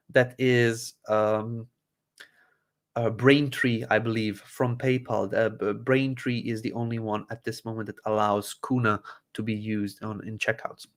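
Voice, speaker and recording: A man from Croatia hosting a live Q&A, walking viewers through software settings step by step with many fillers and restarts. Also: mid-range voice. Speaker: host answering user questions. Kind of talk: live Q&A tech support walkthrough.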